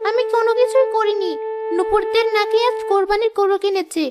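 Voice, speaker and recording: Woman as little cartoon girl, extremely high voice, plaintive, sensitive, earnest, clear music behind. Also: steady tone, fairly quick. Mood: hurt, plaintive. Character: poor young girl, pleading, sensitive, earnest. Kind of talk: cartoon voice acting, moral story.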